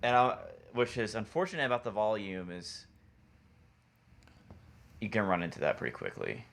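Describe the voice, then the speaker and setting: A man's voice: lowish voice, sing-song, normal speed, gravelly, fairly bright tone. A man talking casually as a podcast co-host. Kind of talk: casual chat.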